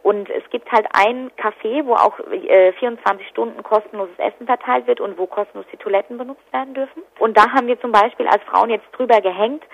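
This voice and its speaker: A woman's voice: mid-range voice, fairly bright tone. A woman giving spontaneous interview answers as an activist. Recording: dull, lo-fi telephone line.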